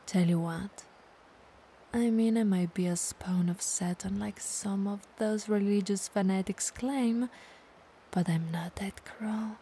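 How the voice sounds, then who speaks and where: A woman playing a teasing, dominant but caring vampire in an ASMR audio roleplay, breathy and gravelly, often dropping to whispers, coaxing and playfully condescending. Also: medium pitch, slow pace, warm tone, a little hiss or hum. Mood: flirty, teasing.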